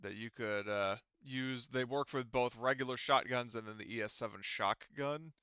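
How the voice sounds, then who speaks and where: A man acting as game master, explaining rules and prices in a casual, halting way as he thinks aloud. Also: medium pitch, pushed and bright, smooth, dry recording, lo-fi sound. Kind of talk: casual tabletop game narration and rulings.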